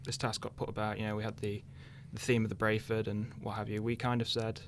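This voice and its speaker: A young man gives a casual interview. His voice is fairly low and flat, with plenty of creak, and he hesitates as he thinks aloud. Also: fast talker, a little hiss or hum. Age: young adult. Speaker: interviewed radio drama writer. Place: radio interview.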